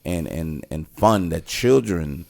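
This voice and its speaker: A man's voice: low-pitched, lively intonation, takes it slowly, vocal fry. A middle-aged man co-hosting a casual film review, talking in a rambling, opinionated way. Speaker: podcast host giving a film review. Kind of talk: casual conversational movie review.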